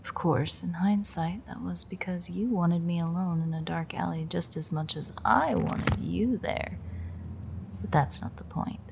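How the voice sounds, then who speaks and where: A smug vampire villainess, played by a woman, speaking in a lowish voice with a gravelly fry. She toys with her captive in an intimate audio drama, teasing and sardonic with open menace. There is faint noise behind her. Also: unhurried, darkish tone. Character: smug, predatory female vampire taunting her captive hunter. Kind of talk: intimate audio roleplay monologue.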